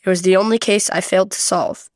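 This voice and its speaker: A woman's voice: medium pitch, steady tone, fast talker, dry recording, studio quality, voice pushed down, natural voice. A woman voicing a stern male detective in a radio play, speaking in an authoritative, businesslike way. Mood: earnest.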